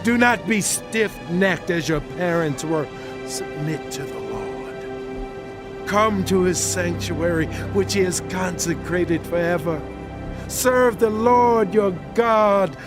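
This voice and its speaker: Earnest ancient Hebrew king, fairly high voice, solemn exhorting delivery, dramatized scripture, music underneath. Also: takes it slowly. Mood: urgent exhortation. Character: earnest, devout Hebrew king urging his people.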